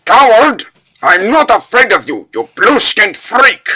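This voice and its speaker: A man voicing a terrified but defiant soldier in a comic dub, stammering and shrill. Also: big pitch swings, unhurried, bright, forceful tone, husky, exaggerated voice. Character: panicked male soldier, terrified yet defiant.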